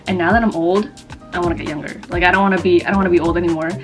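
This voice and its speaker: A woman's voice: fairly low pitch, steady tone, music in the background. A woman of twenty-four from the East Coast of the United States, whose first language is Vietnamese, chatting casually to her viewers in a rambling, self-mocking way with lots of fillers. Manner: chatty and rambling, many fillers, quick bursts, self-mocking jokes, frequent laughs. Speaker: YouTuber. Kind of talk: casual get-to-know-me chat to camera. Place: her own room at home.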